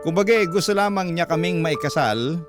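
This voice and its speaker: A man's voice: fairly high pitch, expressive, brisk, lightly acted. A man reading a letter as scripted first-person love story narration on radio. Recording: music bed behind voice. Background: instrumental music.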